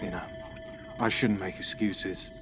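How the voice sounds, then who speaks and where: A brooding suspect. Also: male voice, deep voice, fairly even intonation, music in the background. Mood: uneasy. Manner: brooding, halting confession. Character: troubled middle-aged man, paranoid and bitter. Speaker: suspect being questioned.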